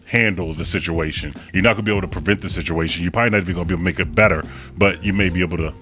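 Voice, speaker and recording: A man with a deep voice chatting casually as a podcast guest in an animated, slangy, emphatic way. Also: racing, faint music behind. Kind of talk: casual conversation.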